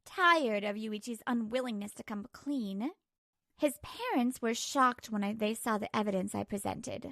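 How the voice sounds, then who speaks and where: A woman's voice: a little high, a little slow, fairly bright tone, no echo, studio quality. A woman reading a scripted first-person story as narrator and lead character of a manga dub.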